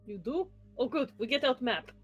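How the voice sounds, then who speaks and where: A woman's voice: on the high side, lively intonation, moderate pace, fairly bright tone, dull audio. A woman voicing a blunt adventurer who speaks in clipped, broken sentences, heard over faint music in a tabletop game. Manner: clipped, drops small words. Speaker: player.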